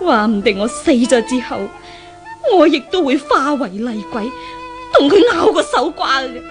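A woman's voice: high-pitched, expressive, measured pace. An actress playing an anguished, suicidal young rich lady haunted by a ghost, in theatrical Hong Kong Cantonese 1970s radio drama style. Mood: bitter defiance. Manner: theatrical soliloquy, exclaiming and lamenting. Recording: old 1970s radio drama with background music.

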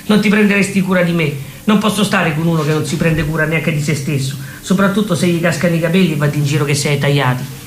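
A man's voice: a little high, fast talker, a little hiss or hum, close to an everyday voice. A man playing a stubborn, self-absorbed girlfriend with a Roman accent in a comic stage sketch, insistent and accusing. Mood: lecturing, hurt. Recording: echoey live stage room.

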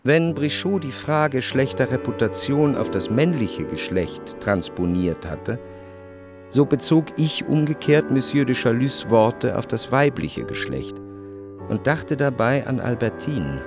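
A reflective literary narrator in a radio play. His medium-pitched voice recounts things in measured, analytical phrases, with music clearly audible underneath. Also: very animated. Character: reflective, observant male first-person literary narrator. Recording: clear musical backing behind the voice.

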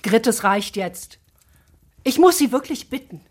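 An actress playing a genteel, controlling hostess of a ladies' reading circle in a radio drama. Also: female voice, on the high side, lively intonation, measured pace, background noise. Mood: sternly indignant. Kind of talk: German radio drama acting.

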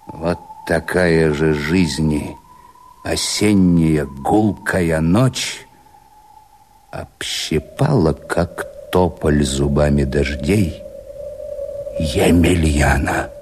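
Low, deliberate rebel conspirator. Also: male voice. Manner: emphatic, deliberate, declamatory. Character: scheming, scornful male rebel conspirator. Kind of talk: theatrical radio verse declamation. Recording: noisy radio play soundtrack.

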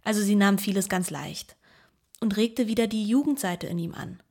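An actress playing a former housemaid who gives measured, reflective testimony about her employers in a radio play. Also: medium pitch, gravelly, a little mellow. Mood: reflective, understanding.

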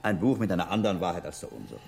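An actor playing a shrewd, scholarly older monk in a German radio drama, musing aloud like a patient teacher and investigator. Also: male voice, sits low, very animated, very fast, vocal fry, a little mellow, soft background music, exaggerated voice. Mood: curious.

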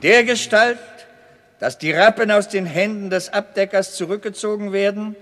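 Radio drama lawyer, high register, declamatory and exact, ringing courtroom reverb. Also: male voice, moderate pace, fairly bright tone. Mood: formal, emphatic. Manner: declamatory, precise, emphatic. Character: formal court advocate reading out a verdict. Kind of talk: German radio play acting. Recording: long reverberant hall.

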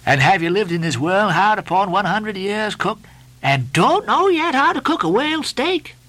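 A bossy, mocking ship's officer, played by a male narrator, pitched high and swinging widely in tone. He badgers with theatrical relish, bright and forceful, firing off nautical orders and mock-solemn questions as in an audiobook sea tale. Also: big pitch swings, normal speed, no room sound, heavily acted. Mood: bossy, badgering.

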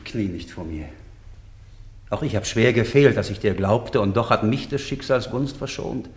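An actor playing a noble, forgiving husband and warrior, declaiming fervently in a classical radio drama. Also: male voice, lowish voice, expressive, normal speed, roomy sound, lightly acted. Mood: magnanimous.

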